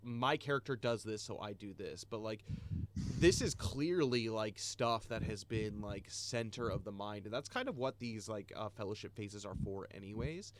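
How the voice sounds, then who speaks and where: A man's voice: fairly low pitch, expressive, moderate pace, slight background noise. A man running a tabletop game, chatting casually and enthusiastically after the session, recovering from being sick. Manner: chatty, filler-heavy, enthusiastic. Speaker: game master. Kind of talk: casual post-session chat.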